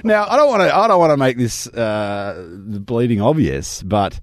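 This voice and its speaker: A man with a slightly croaky voice, chatting and mocking the news as a radio co-host. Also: mid-range voice, sing-song, normal speed, no echo. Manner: chatty, mocking, laughs often. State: croaky voice from yelling. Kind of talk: casual radio chat.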